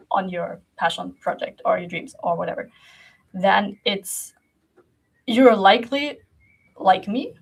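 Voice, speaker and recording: Husky young woman, rambling vlog talk. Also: medium pitch, low-quality recording. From Germany. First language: Russian. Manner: rambling, many fillers and restarts, laughs often. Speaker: creative coach. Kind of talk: casual talking-to-camera vlog.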